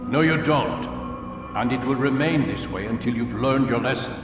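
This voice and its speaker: An actor playing a stern, measured older master of assassins in a video game, speaking formally and deliberately, as if lecturing. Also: male voice, mid-range voice, recorded from a distance, lightly acted. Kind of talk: video game cutscene acting. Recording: very echoey hall, music behind.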